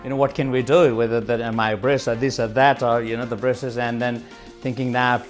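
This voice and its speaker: A man's voice: mid-range voice, flat delivery, brisk. A man from Nepal interviewing a guest, enthusiastic and full of short affirmations. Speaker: interviewer, marketing agency. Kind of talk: interview chat. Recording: light music behind.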